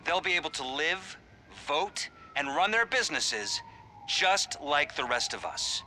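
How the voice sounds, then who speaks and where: A man's voice: a little high, very animated, measured pace, pushed and bright, slight background noise, full character voice. A man playing a politician in a video game's campaign ad, declaiming promises like a stump speech. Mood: assertive. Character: forceful male politician making a campaign promise.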